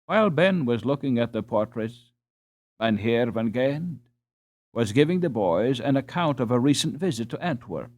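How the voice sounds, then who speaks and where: A man reading a storybook as an audiobook narrator, in a measured, expressive and dramatic way. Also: mid-range voice.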